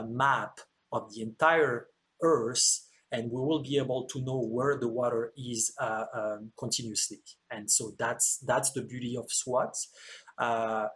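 A man from France with a French accent, an antenna engineer answering interview questions earnestly, with frequent hesitations and repeated words. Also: medium pitch. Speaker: antenna engineer, podcast guest.

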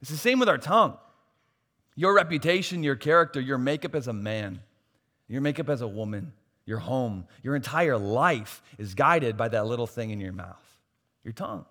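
A pastor preaching a sermon, losing his voice and swinging from soft asides to loud, emphatic points. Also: medium pitch, animated, moderate pace. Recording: long hall reverb.

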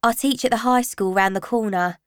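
A male high school history teacher, voiced by a woman narrator. He is formal and clipped, stern with a touch of awkwardness. It is storybook reading in a very dry space. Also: moderate pace, clear, resonant tone, dry recording, lightly acted. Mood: awkward, hesitant.

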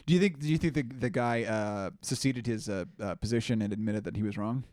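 A young man co-hosting a casual podcast, talking in an animated, mocking way and stammering often. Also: lowish voice, a little fast, no echo, clean studio sound. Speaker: podcast co-host. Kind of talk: casual chat.

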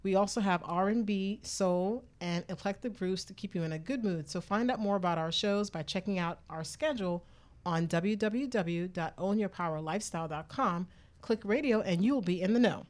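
A woman's voice: medium pitch, moderate pace. A woman hosting a casual radio talk show in a chatty, informal way.

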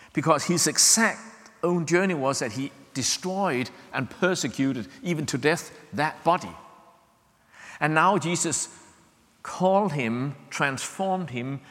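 A man from Denmark preaches in a Danish accent, his voice bright and forward. He talks to the congregation in a loose, chatty way, full of ums and restarts, and checks in with a quick "yeah?". A long church reverb trails behind every phrase. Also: mid-range voice. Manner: conversational, many fillers and restarts, checks in with "yeah?". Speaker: visiting preacher. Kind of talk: sermon.

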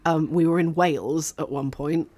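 A woman's voice: low voice, fairly even intonation, normal speed, a little mellow, dry recording. A woman in her late thirties co-hosting a casual podcast, a bit bunged up from a cold, chatty and wry, swearing casually. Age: late 30s. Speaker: podcast co-host. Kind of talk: casual chat.

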